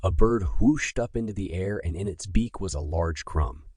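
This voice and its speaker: Deep raspy guy reading a kids bedtime story, dramatic storyteller, really dry sound. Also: moderate pace, dark tone, no echo. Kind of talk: scripted children's bedtime story narration.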